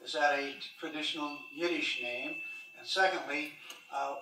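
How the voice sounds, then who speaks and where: A man in the audience asking a couple of questions, halting and hesitant. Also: on the high side, fairly even intonation, far from the mic. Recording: echoey hall, mic from afar, faint hum.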